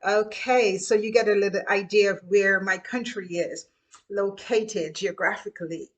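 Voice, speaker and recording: A woman's voice: medium pitch, steady tone, normal speed, dull audio. A middle-aged woman from Guyana with a Guyanese accent, chatting casually about her childhood and laughing now and then as she tells the stories. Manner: chatty, laughs while reminiscing, repeats phrases. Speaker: host. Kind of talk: casual storytelling monologue.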